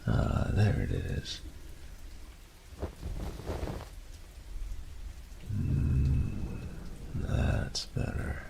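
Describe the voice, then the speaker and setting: A man's voice: very deep voice, vocal fry, noisy background, heavily acted. A man playing a carefree, thrill-seeking college roommate in an audio roleplay, talking in an easygoing, nonchalant way. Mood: contented, relaxed.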